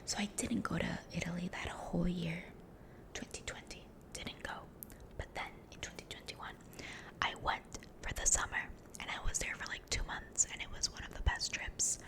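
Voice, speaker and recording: A young woman whispering a rambling story close to the mic, softly repeating words to herself. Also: on the low side, level tone, moderate pace, creaky. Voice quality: unvoiced whisper.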